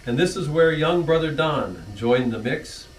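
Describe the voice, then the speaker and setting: A middle-aged man reading his own rhyming anniversary poem in a church hall, earnest, with small stumbles and soft laughs. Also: on the high side, animated, unhurried, distant mic, a little hiss or hum.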